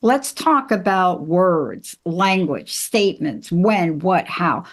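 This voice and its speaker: A woman's voice: lowish voice, expressive, takes it slowly. A woman hosting a conversational relationship-advice podcast, speaking emphatically and straight to her listeners. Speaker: podcast host and coach.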